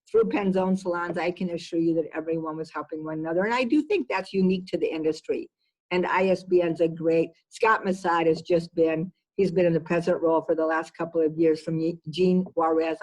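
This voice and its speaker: A woman's voice: fairly low pitch, darkish tone, rough recording. A woman executive giving conversational interview answers, emphatic and repeating words for stress. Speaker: business executive being interviewed.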